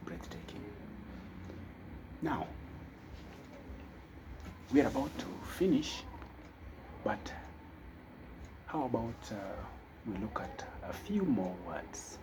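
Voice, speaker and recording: A man teaching a casual spoken lesson, talking slowly and thinking aloud with fillers. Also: mid-range voice, very animated, background noise. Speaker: language teacher.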